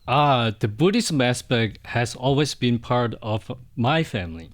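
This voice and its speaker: A middle-aged man from Indonesia, a Buddhist monk, answering interview questions in a hesitant, soft way with frequent restarts. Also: medium pitch, animated, measured pace.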